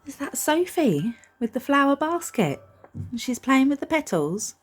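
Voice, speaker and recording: A woman reads a picture book aloud expressively, gently reassuring young listeners and putting questions to them. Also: high-pitched, big pitch swings, unhurried. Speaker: storybook reader for young children.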